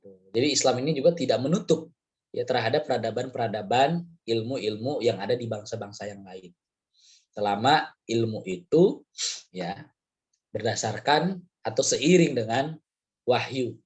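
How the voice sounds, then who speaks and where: A hoarse man, his voice rough from a cold, lectures on faith and culture in a loose, conversational way. He circles back often and leans on short filler words. His pitch sits in an ordinary middle range. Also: lively intonation, normal speed, long pauses, a little mellow. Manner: chatty, rambling, frequent "ya" fillers. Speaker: Islamic study speaker. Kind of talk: casual religious lecture.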